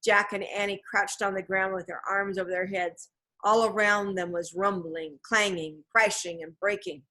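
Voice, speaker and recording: A woman narrates a children's novel in a medium-pitched voice. She tells the story in a measured, expressive way, as a scripted read-aloud. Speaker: storybook reader.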